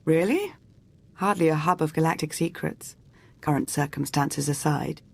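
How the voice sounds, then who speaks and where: Creaky-voiced, shrewd imperial adviser. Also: female voice, low voice, normal speed, heavily acted. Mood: dry, thoughtful. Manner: articulate, formal, dryly witty. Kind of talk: video game character acting.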